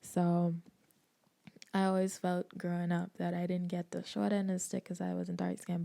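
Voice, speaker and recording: Gravelly, dark-voiced woman podcasting. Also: on the low side, no echo. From Bahamas. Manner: candid, animated, laughs often, slangy asides. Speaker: podcast host. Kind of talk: casual solo podcast talk. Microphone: desk microphone.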